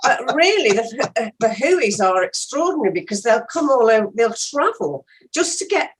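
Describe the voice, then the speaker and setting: A woman's voice: a little high, very animated, normal speed, far from the mic, poor audio. A woman, an actor chatting casually and telling anecdotes as an interview guest.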